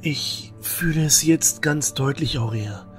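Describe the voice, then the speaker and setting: A man playing a troubled, brooding teenage temple novice, halting and intense, in a fantasy audio drama. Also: fairly high pitch, faint music behind. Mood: despairing, haunted. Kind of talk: fan-made fantasy audio drama acting.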